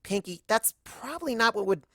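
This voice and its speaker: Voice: medium pitch, lively intonation, normal speed, bright, forceful tone, dry recording, clean studio sound, pitched above the performer's own voice. A narrator as a brainy, awkward pony mare. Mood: protesting. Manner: wordy, hedging, awkward. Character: anxious, brainy young female pony student of magic.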